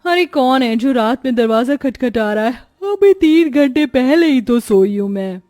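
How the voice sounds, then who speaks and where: A woman's voice: high voice, lively intonation, a little slow, warm tone. A woman voicing a young, put-upon new bride who complains and protests plaintively in a cartoon story. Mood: disbelieving.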